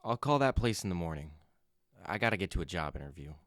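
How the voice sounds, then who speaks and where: Radio play support: helpful, practical working man, very low voice, dry close sound. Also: very animated, fast talker, no room sound. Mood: matter-of-fact. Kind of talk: naturalistic radio drama acting.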